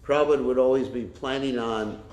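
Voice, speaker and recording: Loud man teaching in an echoey room. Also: mid-range voice, steady tone, fairly quick. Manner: rambling, many fillers and restarts. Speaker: spiritual teacher. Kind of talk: religious class talk with storytelling. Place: class room with a kitchen nearby. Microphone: no microphone, room recording.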